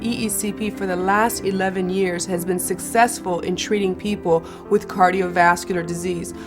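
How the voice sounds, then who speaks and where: A woman from a clinic's staff giving a clear, reassuring explanation of a treatment. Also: on the low side, steady tone, normal speed. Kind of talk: explanatory interview. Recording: music playing behind the voice.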